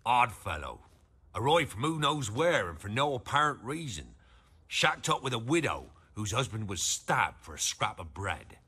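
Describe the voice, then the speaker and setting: A man playing an anxious village innkeeper in a fantasy video game, with a rough edge to his voice. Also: mid-range voice, big pitch swings, moderate pace, sharp tone, heavily acted. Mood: wary, subdued. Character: anxious rustic male innkeeper in a war-torn village.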